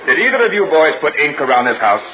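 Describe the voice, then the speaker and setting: Exasperated, animated middle-aged father. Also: a little high, quick pace, a crowd in the background. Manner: firm, questioning, authoritative. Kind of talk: 1940s radio comedy acting. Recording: noisy vintage 1940 radio broadcast recording.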